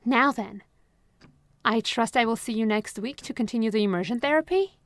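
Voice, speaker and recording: A woman voicing a manipulative, possessive female therapist who talks in a honeyed, calmly controlling way, in an ASMR roleplay recorded close to the mic. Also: a little high, moderate pace, dry recording, close to an everyday voice. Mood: smooth, controlling. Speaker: voice actress.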